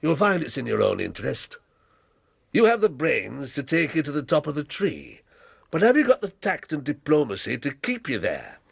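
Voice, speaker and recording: A blunt, shrewd older man of business for period radio drama. The voice is husky and full of gravel, fairly high for a man. He is domineering and jovially pushy, in a very dry recording. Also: wildly swooping pitch, moderate pace, no room sound, exaggerated voice. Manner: brusque, bluff, wheedling.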